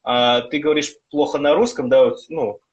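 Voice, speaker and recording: A man's voice: medium pitch, fairly even intonation, moderate pace, dull audio. A man hosting a live-streamed interview, asking questions casually and hesitantly with many fillers. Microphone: phone on a live stream.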